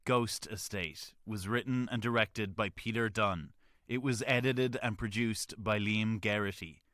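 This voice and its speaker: A man's voice: fairly low pitch, a little slow, a bit bright, no echo, clean studio sound. A man reading scripted credits as an announcer.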